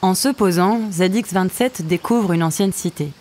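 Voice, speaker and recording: A woman's voice: on the low side, normal speed, a little mellow. A woman narrating a scripted science-fiction audio story.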